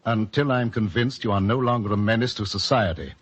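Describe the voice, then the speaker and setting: An actor playing a stern, cold psychiatrist professor in 1940s radio drama style. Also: male voice, fairly low pitch, brisk, breathy edge. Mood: firm. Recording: dull old radio recording.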